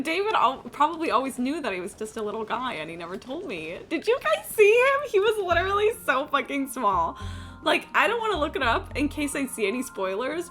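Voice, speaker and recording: High, animated young woman streamer. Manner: excitable, reactive, casual swearing. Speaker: live streamer. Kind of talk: casual gaming livestream commentary. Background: music from the game.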